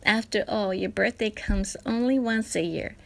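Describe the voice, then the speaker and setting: A woman's voice: medium pitch, moderate pace, vocal fry. Woman. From Japan. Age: 40s. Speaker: presenter of a personal parenting essay. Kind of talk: scripted personal narration.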